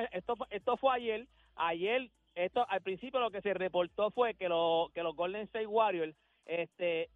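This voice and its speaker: High-voiced Puerto Rican sports radio man. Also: no room sound, phone-quality sound. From Puerto Rico. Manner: slangy, repeats phrases for emphasis. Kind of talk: casual sports talk on a radio show.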